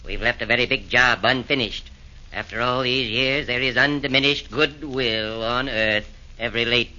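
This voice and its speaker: An actor playing the devil chairing a council of hell in a 1940s radio play, with pompous, rhyming oratory. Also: male voice, medium pitch, sharp tone, muffled recording.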